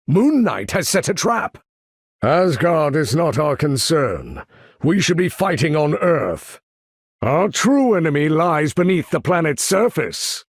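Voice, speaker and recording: A man voicing a proud, imperious older mutant leader for a video game, speaking in a formal, theatrical, condescending way with a gravelly, husky voice. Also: a little high, no room sound, studio quality, heavily acted. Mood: urgent, ordering. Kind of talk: video game character voice lines.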